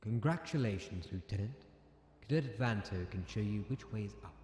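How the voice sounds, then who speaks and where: An actor playing a brusque, authoritative military academy commandant in an audio comic drama. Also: male voice, lowish voice, animated, moderate pace, dark tone, a little hiss or hum, lightly acted. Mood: grudging, matter-of-fact.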